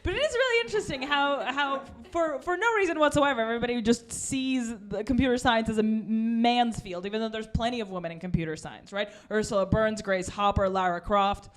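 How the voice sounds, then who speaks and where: A woman in her twenties from Russia, doing a stand-up comedy set with a deadpan, ironic delivery and quick asides. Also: a little high, faint music behind. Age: 20s. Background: audience laughter.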